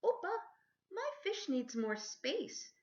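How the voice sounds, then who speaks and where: Woman playing a little storybook girl: curious, wistful, earnest and pleading, pitched up, on a dull lo-fi recording. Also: sing-song, takes it slowly, a little mellow, echoey room, full character voice. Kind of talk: children's storybook read-aloud. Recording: dull lo-fi sound.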